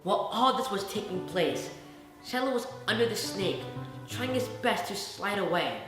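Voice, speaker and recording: A woman narrating a children's bedtime story in an expressive, dramatic way. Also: mid-range voice, moderate pace. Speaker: storyteller reading a children's book. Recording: very echoey room, music underneath. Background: music.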